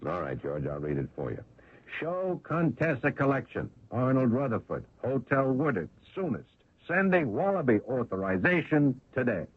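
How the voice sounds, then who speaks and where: A dull, dry vintage radio recording of a brash, pushy salesman. The man's pitch leaps about wildly as he breezily wheedles and brags in slang. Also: big pitch swings, moderate pace, breathy edge, no room sound. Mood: cocky, impatient. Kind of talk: old-time radio drama acting.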